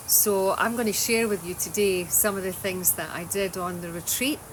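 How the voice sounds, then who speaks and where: A Scottish woman with a fairly low voice talks casually to her followers on a livestream. She is loose and chatty, often breaking off and restarting sentences, and clear noise runs under her voice throughout. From Scotland. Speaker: holistic wellness coach. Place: home in Australia.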